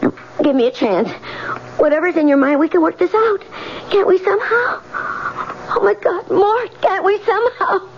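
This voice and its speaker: An actress playing a scheming unfaithful wife in a radio drama, hesitant, anxious and wheedling, like someone frightened and cornered. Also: female voice, very high voice, normal speed. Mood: frightened pleading. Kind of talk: 1970s radio drama acting. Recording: dull lo-fi radio broadcast, faint noise.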